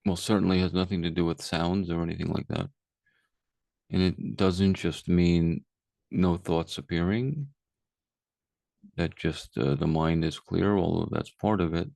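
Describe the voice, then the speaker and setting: A man guiding a meditation as a teacher, speaking calmly and unhurriedly with long pauses. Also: deep voice, vocal fry, dry recording. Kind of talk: guided meditation, unscripted.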